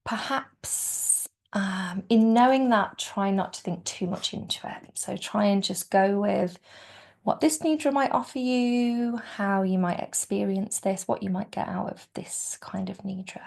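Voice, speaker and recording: A woman guides a relaxation session as a yoga nidra teacher. Her mid-pitched voice has plenty of soft creak and often thins to a breathy near-whisper. She speaks soothingly and without hurry, leaving gentle pauses between phrases. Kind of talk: guided meditation.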